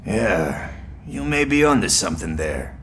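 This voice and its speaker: An actor playing a cocky, weary police partner in a video game, with wry, self-satisfied banter. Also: male voice, deep voice, very animated, unhurried, a bit bright, a little hiss or hum, full character voice. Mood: weary, joking. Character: cocky, wisecracking but weary male rookie cop partner. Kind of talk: video game cutscene acting.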